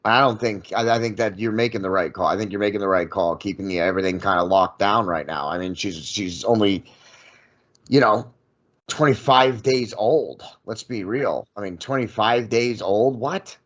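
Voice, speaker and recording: Low-voiced agreeable man, lo-fi sound. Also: moderate pace, fairly bright tone. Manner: agreeable, supportive, frequent affirmations. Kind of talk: casual podcast conversation. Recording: dull lo-fi sound.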